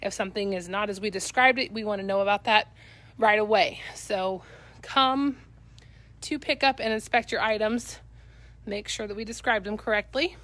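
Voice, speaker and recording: A woman's voice: medium pitch, fairly even intonation, normal speed, a bit bright. A woman with a Midwestern accent giving an upbeat, chatty walkthrough of auction items for live viewers, filmed on a handheld phone in a cluttered warehouse. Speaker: auction company staff. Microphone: handheld phone camera.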